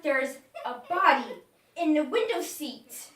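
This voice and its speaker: A woman's voice: high voice, animated, slow pace, pushed and bright, exaggerated voice. A woman playing an agitated nephew in a stage comedy, emphatic, exasperated and stammering. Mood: shocked. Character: agitated, flustered nephew, a man, drama critic. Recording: echoey room, far mic, faint noise.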